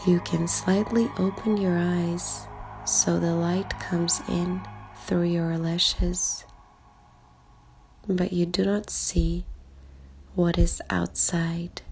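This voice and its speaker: A woman leading a guided body scan meditation in a calm, soothing way, speaking in short soft phrases with long pauses and a breathy edge. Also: lowish voice. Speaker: meditation guide, life coach. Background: background music.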